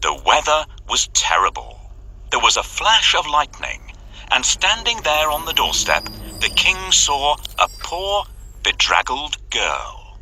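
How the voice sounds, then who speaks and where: A man narrating a children's story in a dramatic, expressive, scripted style. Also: on the high side, slow, a little hiss or hum, rough recording.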